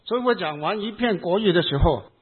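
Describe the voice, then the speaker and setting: Husky pastor with a Hong Kong accent. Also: male voice, high voice, lively intonation. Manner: speaks in short phrases, pausing for the interpreter. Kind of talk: sermon with live interpretation. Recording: tinny phone-like sound.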